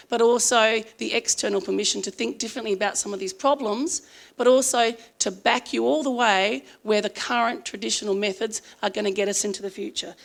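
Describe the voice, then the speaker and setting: A woman's voice: a little high, vocal fry. A middle-aged Australian woman giving a conference talk in a chatty, earnest way, full of ums, her voice a little strained from singing. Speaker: conference speaker. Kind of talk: conference presentation.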